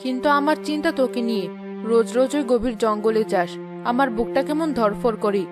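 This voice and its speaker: A woman's voice: a little high, fairly quick, lightly acted. A woman playing an anxious, loving middle-aged village mother in a cartoon, worried and pleading. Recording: music behind the voice.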